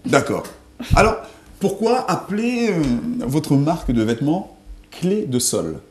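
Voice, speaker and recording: A woman's voice: low voice, big pitch swings, measured pace. A woman hosting a TV studio interview, asking questions playfully with teasing asides. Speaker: talk show host. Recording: roomy studio sound.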